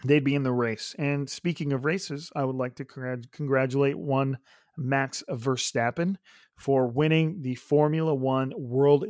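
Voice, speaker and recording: A young man hosting a solo podcast, his voice raspy and hoarse, rambling casually with many fillers. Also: medium pitch, no room sound, clean studio sound. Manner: rambling, upbeat, lots of fillers. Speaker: podcast host.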